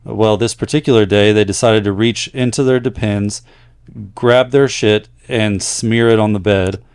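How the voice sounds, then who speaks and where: A man co-hosting a podcast as a nurse, chatting casually and reading listener letters aloud with pauses. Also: fairly low pitch, fairly even intonation, a little mellow, smooth, dry recording.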